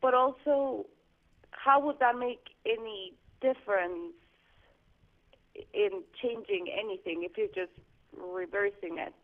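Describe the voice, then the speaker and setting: A woman's voice: mid-range voice, steady tone. A woman calling in over a phone line. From Guatemala. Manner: earnest, halting, restarts often. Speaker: caller. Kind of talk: call-in radio chat. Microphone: home landline phone.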